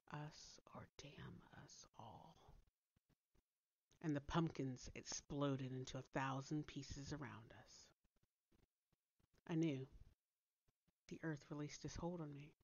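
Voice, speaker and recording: A woman reading a story aloud as a dramatic, deliberate narrator with theatrical delivery. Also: sits low, no echo, highs cut off. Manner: deliberate, theatrical, dropping to hushed tones. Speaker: author reading own story. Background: soft background music.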